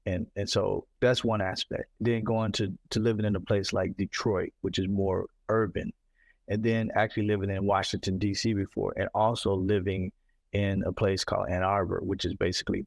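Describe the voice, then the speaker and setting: A man from Mississippi, telling stories in an animated casual conversation and often repeating himself. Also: lowish voice, no room sound. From Mississippi, United States. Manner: animated storyteller, repeats words, says "you know what I'm saying". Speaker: podcast host.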